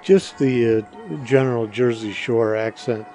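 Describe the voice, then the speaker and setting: A man with a dark, relaxed voice at a middling pitch answers podcast questions. He is casual, understated and hesitant, thinking as he goes, with faint music behind him. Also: a little slow. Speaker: podcast interviewee.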